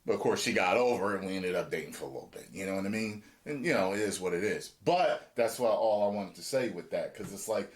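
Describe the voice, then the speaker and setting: A man hosting a casual reaction chat, talking in an animated way and acting out other people's lines. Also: quick pace, few pauses. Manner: animated, acts out quotes with shifting pitch. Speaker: show host.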